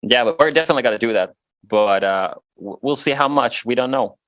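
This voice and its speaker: A man in his thirties from Finland, Swedish as his first language, chatting casually as a guest with frequent laughs and halting restarts. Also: medium pitch, a bit bright, no room sound. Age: 30s. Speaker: guest musician. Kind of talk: casual interview chat.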